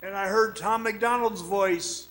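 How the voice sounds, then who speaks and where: A man playing a weary, awestruck man who recounts an eerie ordeal in a radio drama, dramatic, halting and haunted. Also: high-pitched, fairly even intonation, a bit bright. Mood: tense wonder. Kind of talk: dramatic radio play narration. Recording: music behind the voice.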